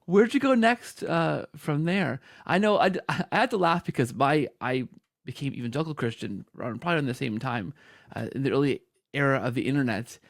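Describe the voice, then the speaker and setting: A man's voice: mid-range voice, lively intonation, a little fast, gravelly, no room sound, clean studio sound. A man hosting a conversational interview, talking in a rambling, anecdotal way. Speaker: podcast host interviewer.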